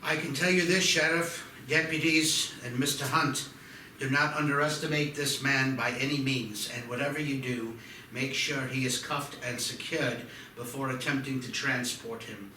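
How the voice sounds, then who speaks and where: A man's voice: medium pitch, steady tone, moderate pace, pushed and bright, lightly acted. A man reading a grave, urgent doctor who warns of a killer, speaking formally and emphatically in an amateur table read. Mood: grave warning. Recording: echoey room, distant mic, faint noise.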